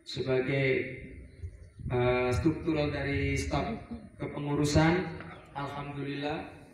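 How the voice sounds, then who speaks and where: A man's voice: mid-range voice, a little hiss or hum. Man preaching through a very echoey PA. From Surabaya, Indonesia. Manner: relaxed, rambling, with Arabic prayer phrases and self-corrections. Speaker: Eid prayer preacher and imam. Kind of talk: Eid sermon to a residential congregation. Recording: very echoey, heard from a distance over a PA.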